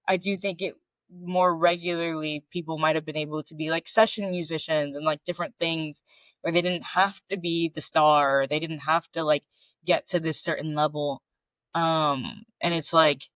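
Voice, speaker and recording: A man with a somewhat high voice, chatting casually as a podcast co-host. He talks in a loose, searching way, leaning on filler words as he thinks aloud. The sound is dry and close. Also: a bit bright, dry recording, low-quality recording. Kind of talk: casual conversational chat.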